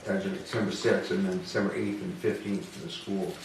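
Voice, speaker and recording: A man's voice: low voice, flat delivery, a little fast, darkish tone. A man leading an informal public meeting in a matter-of-fact, hedging way, talking things through with others. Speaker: town budget committee member leading a meeting. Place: indoor meeting room, public town meeting. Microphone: distant room microphone. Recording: very echoey room, distant microphone, noisy.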